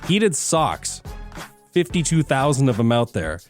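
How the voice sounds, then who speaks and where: A man's voice: mid-range voice, very animated, measured pace, faint music behind. A man co-hosting a radio morning show with casual, chatty banter. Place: radio studio.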